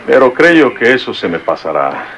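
An actor playing a blunt, folksy rural police chief in a vintage radio drama. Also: male voice, mid-range voice, big pitch swings, moderate pace, fairly bright tone, slight background noise. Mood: gruff. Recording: dull old radio recording.

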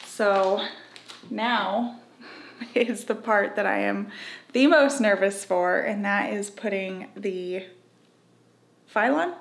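A woman's voice: mid-range voice, slow pace, creaky, pauses often. A young woman casually chatting on camera about a home renovation, with frequent laughs and admitted nerves. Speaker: vlogger.

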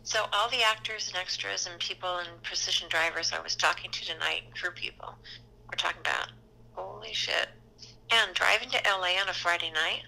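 Middle-aged woman, casting agent, very bright tone with gravelly fry, rambling chat. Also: fairly low pitch, fairly quick, poor audio. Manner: rambling, loose, conversational. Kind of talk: casual conversation.

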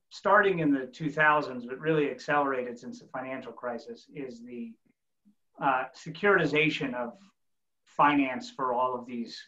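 A man's voice: mid-range voice, pushed and bright. Roomy, dull-sounding man moderating a call. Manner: wordy, halting, frequent "you know". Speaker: panel moderator. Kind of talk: conversational, long-winded questioning. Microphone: video call.

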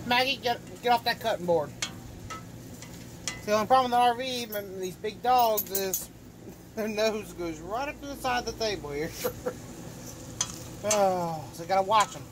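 A man's voice: high voice, normal speed, long pauses. A man casually chatting while he cooks in a small RV kitchen, rambling folksy talk with a phone camera held over the stove. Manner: relaxed, rambling, folksy, with fillers. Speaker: home cook vlogger. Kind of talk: casual cooking vlog. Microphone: handheld phone camera over the stove. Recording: kitchen noise with sizzling food.

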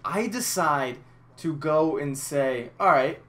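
A man's voice: on the high side, slow, a bit bright. A young man from Massachusetts with a headset mic in a small room, telling a rambling, swear-filled story over game footage. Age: young adult. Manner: rambling, frequent swearing and restarts. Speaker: gaming YouTuber. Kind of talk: casual storytelling over gameplay.

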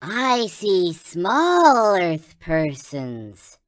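A man's voice: high-pitched, huge pitch swings, very slow, exaggerated voice. A man voicing a tiny, childlike alien toy in a video game, speaking with reverent wonder in formal, chant-like phrases. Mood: solemn wonder. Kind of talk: video game character voice clips.

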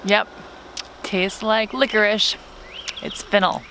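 A woman's voice: medium pitch, steady tone, takes it slowly, noisy background. A woman in her thirties vlogging outdoors to a camera held at arm's length, chatty and self-deprecating, laughing easily. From not from California. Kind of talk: casual vlog talk to camera. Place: outdoors by a tree in a park.

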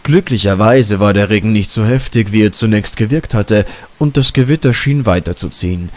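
A man's voice: on the low side, moderate pace. A man narrating a scripted horror story as an audiobook.

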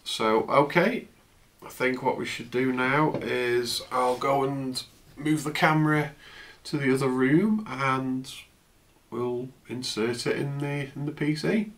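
A man in his fifties, croaky from a flu, chatting casually through an unboxing in his home office, recorded on a camera's built-in mic. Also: mid-range voice, slow. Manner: relaxed, chatty, trails off. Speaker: tech vlogger. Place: home office, indoors, seated at a desk.

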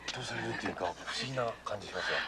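A man's voice: lowish voice, racing, heavy background noise. A man reporting on location, interviewing people in a casual, polite, inquisitive way. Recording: music behind location talk. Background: music.

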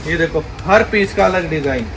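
A young man giving a repetitive, pushy sales pitch for wholesale coats in a big indoor warehouse, filmed on a handheld phone. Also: normal speed, background noise. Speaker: wholesale clothing seller. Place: large indoor clothing warehouse.

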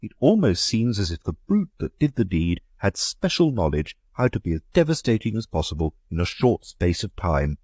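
A man voicing a blustering, indignant country gentleman in a reading of a story. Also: on the low side, big pitch swings, moderate pace, hardly any pauses, husky, no room sound, clean studio sound, voice pushed up. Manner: blustering, emphatic.

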